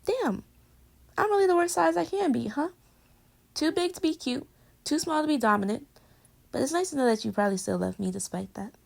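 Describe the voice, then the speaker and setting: Woman acting a creaky-voiced, playful clingy girlfriend. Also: sits high, lively intonation, fairly quick, long pauses. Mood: playful, curious. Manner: teasing, rambling, swinging from murmurs to exclamations. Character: playful clingy young girlfriend, teasing and affectionate. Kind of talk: intimate girlfriend roleplay audio, pillow talk.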